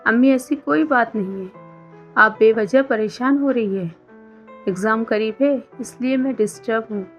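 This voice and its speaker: Woman voicing a lovestruck young college woman, high-pitched. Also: normal speed, close to an everyday voice. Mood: reassuring. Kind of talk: scripted story dialogue. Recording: dull lo-fi sound under music.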